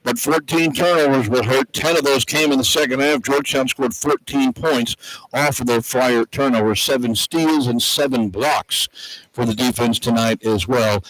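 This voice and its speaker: A man's voice: medium pitch, a bit bright, no echo, low-quality recording. A man hosting a postgame basketball radio broadcast, reading out stats as a play-by-play commentator. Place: sports arena broadcast position.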